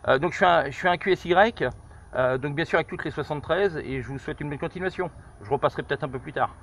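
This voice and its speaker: A man's voice: a little high, fast, gravelly, fairly bright tone. A middle-aged man making informal radio calls during a field test, repeating himself and hesitating as he goes. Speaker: amateur radio operator testing a handset. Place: outdoors on a high viewpoint over countryside. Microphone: camera held just behind his shoulder. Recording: noisy outdoor phone camera, clicks.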